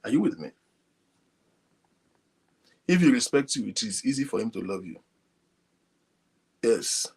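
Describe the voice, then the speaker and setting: Man, breathy voice, soft-spoken, casual talk to an audience. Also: medium pitch, measured pace, pauses often. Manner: speaks softly, animated, addresses viewers directly. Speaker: online show host. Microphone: phone linked to a computer.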